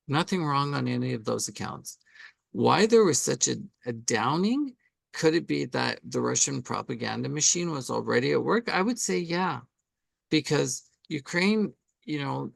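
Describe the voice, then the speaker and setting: Middle-aged man, deep fry in the voice, chatty and emphatic, dry close recording. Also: no echo. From Canada. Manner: animated, frequent "right?" and "um". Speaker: artist interviewee. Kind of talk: conversational interview answers.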